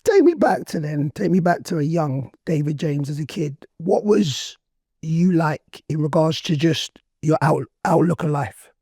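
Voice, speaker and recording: Hoarse man hosting a podcast. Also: fairly high pitch, unhurried, dry recording, studio quality. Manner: hoarse, excitable street slang. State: losing his voice. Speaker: podcast host. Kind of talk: casual interview chat.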